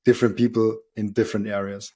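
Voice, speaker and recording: A low, flat-voiced man with a German accent hosts a podcast in English. He talks in a halting, casual way, full of fillers, and his phrases often trail off quietly. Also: breathy edge. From Austria. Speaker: podcast host. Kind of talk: casual interview talk.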